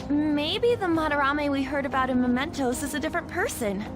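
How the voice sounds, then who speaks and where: An earnest, polite teenage girl in a video game. Her voice is high and girlish, with a questioning, casual delivery over background music. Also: animated, a little fast. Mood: puzzled. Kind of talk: natural video game dub acting. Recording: music behind the voice.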